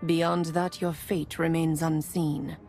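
An actress playing a solemn prophetic seer in a video game, grave and measured in manner. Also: female voice, sits low, flat delivery, gravelly, a little mellow, exaggerated voice. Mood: solemn, foreboding. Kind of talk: video game cutscene acting. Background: faint game music.

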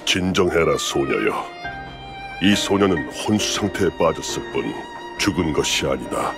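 Very deep, grave former commander. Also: male voice, lively intonation, creaky, music in the background, full character voice. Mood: calm, grave. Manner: grave, formal, measured. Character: stern former imperial legion commander, solemn wandering man. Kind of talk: scripted game cutscene acting.

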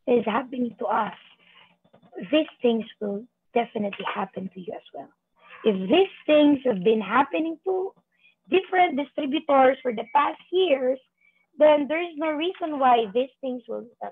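A woman's voice: sits high, husky, dry recording. A woman in her thirties, a business coach, giving a rousing, repetitive motivational speech on a video call. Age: 30s. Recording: tinny call audio.

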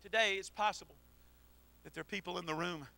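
Pastor guy, high pitched and piercing, preaching hard then going soft, pacing in a church. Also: steady tone, fast, creaky. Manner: swings from shouted exhortation to quiet storytelling; preaches while pacing the platform. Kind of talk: sermon. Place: church sanctuary. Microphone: handheld mic.

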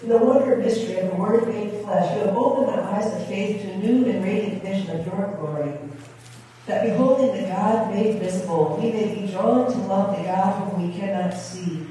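A pastor, a woman, reading the communion liturgy in a measured, formal way. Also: medium pitch, mellow, slight background noise. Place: church sanctuary with brick wall, indoors. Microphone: far camera at the back of the chancel. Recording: echoey church from far off.

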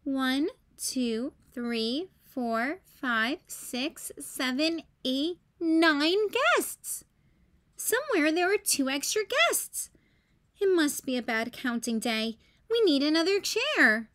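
A woman's voice: high-pitched, big pitch swings, takes it slowly, fairly bright tone, voice pushed up, full character voice. A woman reading a storybook as a bossy, puzzled young big-sister bunny, in an emphatic, exclaiming way. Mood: surprised. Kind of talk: children's storybook read-aloud.

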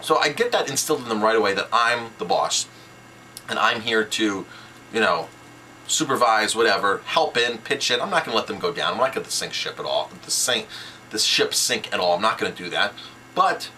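Bright-voiced man with a lowish pitch. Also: a little hiss or hum, poor audio. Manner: emphatic, repeats points in short sentences. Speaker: restaurant owner teaching business tips. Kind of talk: informal instructional talk to camera.

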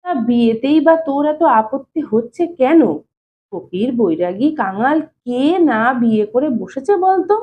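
Woman voicing nosy older family man, high pitch, coaxing, familiar, storybook reading, tinny phone-like audio. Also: expressive, measured pace, slight background noise. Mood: concerned, coaxing. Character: nosy, concerned older brother-in-law, a male family elder. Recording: thin phone-like narrow-band sound.

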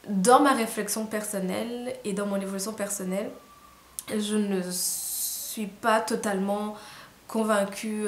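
A young woman from Rwanda, talking to camera at night, tired and hesitant, with many fillers and restarts. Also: medium pitch. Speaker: vlogger. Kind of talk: casual reflective monologue to camera. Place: small room indoors. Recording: roomy echo, faint hiss.

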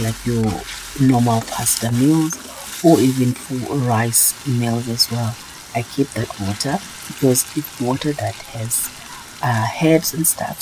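Very low-voiced, slow-talking woman vlogger. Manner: hesitant, halting delivery with frequent pauses. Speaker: cooking vlogger. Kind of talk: casual cooking demonstration. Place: home kitchen, indoors. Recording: clear frying sizzle and stirring noise. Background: food sizzling and being stirred.

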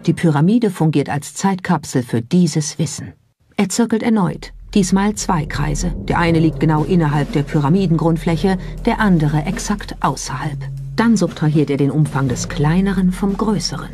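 A narrator reading a scripted documentary in a measured storytelling style. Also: medium pitch, over music.

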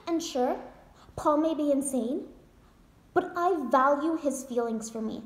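A woman's voice: high-pitched, unhurried. A woman acting a desperate, wounded wife who fights her divorce with defiant jokes, in an emphatic theatrical monologue addressed to her husband. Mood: insistent, boastful. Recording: echoey untreated room.

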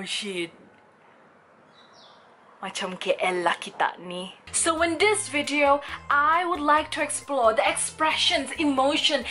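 A young woman from Sabah with a Malaysian English accent, doing a comedic vlog in a small bedroom and talking to a close camera. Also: sits high. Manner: expressive, animated, switching into Malay. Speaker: vlogger.

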